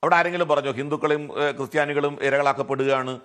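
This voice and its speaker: A man's voice: fairly high pitch, steady tone, fast talker, fairly bright tone, smooth. A man with a Malayali accent arguing his case as a television debate panelist, often posing rhetorical questions. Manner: argumentative, poses rhetorical questions.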